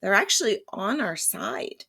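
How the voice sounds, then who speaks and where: A woman's voice: medium pitch, sing-song, a little slow, vocal fry, bright, forceful tone, breathy edge. A woman speaking as a holistic healer guest in an interview, emphatic and repeating her key phrases. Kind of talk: conversational interview.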